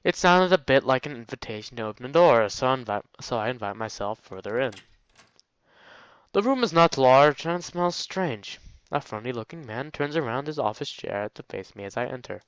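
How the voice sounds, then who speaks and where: Clear-voiced man reading a game aloud. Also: medium pitch, lively intonation, normal speed, a bit bright, no room sound, muffled recording. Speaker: let's play host reading a visual novel aloud. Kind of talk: reading game text aloud with casual asides. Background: faint game music.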